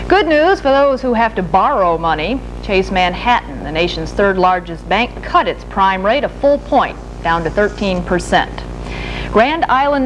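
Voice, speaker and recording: An American woman anchors a television newscast, reading from a script in a formal, measured way. Her voice sits at a medium pitch. The recording is an archival late-seventies broadcast tape with faint hum and noise. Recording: noisy archival 1970s broadcast tape, humming.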